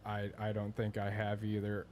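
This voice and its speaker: A young man with a soft voice, co-hosting a sports podcast in casual chat. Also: on the low side, monotone, normal speed, a little hiss or hum. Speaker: podcast co-host.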